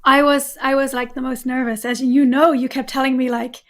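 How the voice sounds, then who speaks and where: A woman guest on a live stream, talking casually with a hoarse, worn voice, laughing often and using lots of ums. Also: sits high, level tone, normal speed. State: hoarse, recovering voice. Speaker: guest developer advocate.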